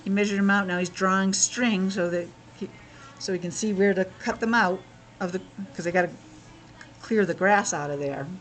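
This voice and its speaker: A woman's voice: medium pitch, moderate pace, a little hiss or hum. A woman reading a picture book aloud to young children as a teacher, breaking off for chatty asides, explanations and questions. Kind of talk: read-aloud storytelling with casual asides.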